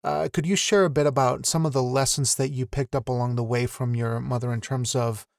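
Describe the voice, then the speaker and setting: A man's voice: medium pitch, steady tone, a little fast, gravelly, dry recording, studio quality. A man hosting a podcast interview, asking long, winding questions with restarts and an encouraging tone. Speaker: podcast host.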